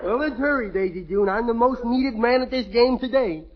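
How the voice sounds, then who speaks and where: A man's voice: very high voice, dull audio. A man playing a dim-witted young country bumpkin in a 1940s radio comedy, drawling in broken grammar and giggling. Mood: goofy. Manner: slow rustic drawl with bad grammar and goofy giggles. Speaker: comic lead. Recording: old radio broadcast, hiss, live audience laughter.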